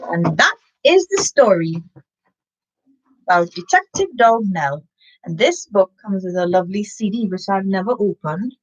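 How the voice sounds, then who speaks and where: A woman's voice: mid-range voice, expressive, measured pace, muffled recording. A woman from Trinidad doing a children's story time, reading expressively and talking casually straight to her young listeners.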